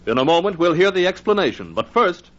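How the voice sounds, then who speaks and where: A man's voice: high-pitched, big pitch swings, brisk. A man announcing an old-time radio programme dramatically, addressing the listeners. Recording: muffled old radio recording. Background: organ music.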